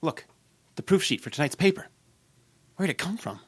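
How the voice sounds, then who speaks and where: Guy doing a jumpy newspaper office man, old radio play, raspy, pitch all over the place. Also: dry recording. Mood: alarmed, horrified. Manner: halting, excitable. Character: agitated newspaper office worker, alarmed and urgent. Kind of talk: old time radio drama acting.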